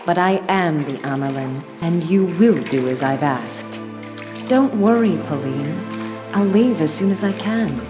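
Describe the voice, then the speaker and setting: Resolute young sorceress leader, lowish voice. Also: female voice, expressive, measured pace. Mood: firm, reassuring. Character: young newly raised sorceress leader, determined, dutiful, stubborn. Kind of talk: dramatic fantasy game cutscene acting. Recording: game music behind the voice.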